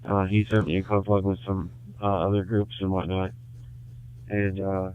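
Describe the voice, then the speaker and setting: A man calling in on a ham radio net, chatting casually in a halting way with many fillers and restarts. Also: sits low, little pitch movement, clear, resonant tone. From Michigan, USA. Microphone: handheld radio transmitter. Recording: tinny two-way radio audio.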